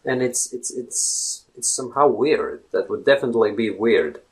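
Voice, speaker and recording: A man's voice: mid-range voice, animated, moderate pace. A man in his thirties from Latvia, a native Latvian speaker with a Latvian accent, talking casually to the camera and rambling, often asking "right?". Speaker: language blogger.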